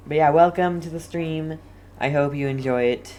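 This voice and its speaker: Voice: mid-range voice, a little mellow. A game streamer giving casual, chatty livestream commentary with light teasing.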